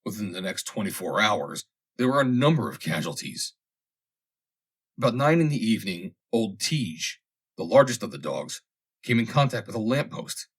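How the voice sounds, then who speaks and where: A man reads a comic vintage story aloud as scripted narration, in a measured, dramatic storytelling manner with deliberate pauses. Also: fairly low pitch, big pitch swings, slightly rough. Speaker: audiobook reader.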